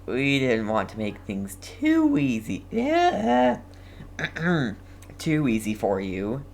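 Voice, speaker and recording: Female game streamer, deep voice, lively intonation, informal reactions, casual commentary. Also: slow pace, creaky, slight background noise.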